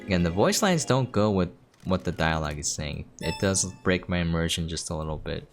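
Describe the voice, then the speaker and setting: A man's voice: low-pitched, animated, brisk. A man giving casual gameplay commentary, thinking aloud as he goes. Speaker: game streamer. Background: game music.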